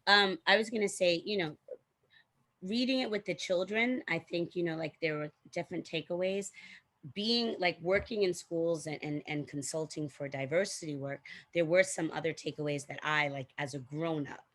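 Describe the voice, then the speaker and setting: Low-voiced woman talking things through casually. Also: normal speed, fairly bright tone. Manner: thinks aloud, frequent "like" and "you know". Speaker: guest, teacher and diversity consultant. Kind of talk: casual conversational explanation.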